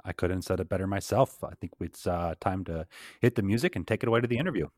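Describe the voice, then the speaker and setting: A man co-hosting a business podcast, chatting in a relaxed way with some fillers. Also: deep voice, fast talker. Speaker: podcast co-host. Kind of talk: casual podcast chat.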